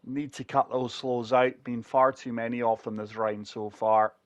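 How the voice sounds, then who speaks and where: A man's voice: medium pitch, little pitch movement, normal speed, lo-fi sound. A man chatting through a golf video game, struggling for breath with a chest infection. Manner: chatty, talks viewers through each shot. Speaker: gaming channel host. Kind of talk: casual golf game commentary.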